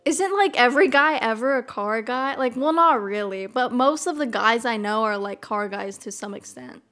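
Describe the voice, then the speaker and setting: A woman's voice: fairly high pitch, animated, moderate pace. Bubbly young woman, mild Midwestern accent. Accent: mild Midwestern American. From American South. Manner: bubbly, rambling, lots of "freaking" and "oh my God". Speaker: streamer. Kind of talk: casual chat. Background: soft piano music.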